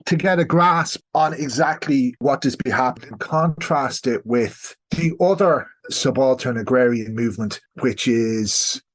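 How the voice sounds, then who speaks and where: A man's voice: mid-range voice, unhurried, few pauses. Irish man explaining history in detail. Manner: discursive, explanatory, checks listener with "right?". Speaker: historian guest. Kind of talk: podcast interview explanation.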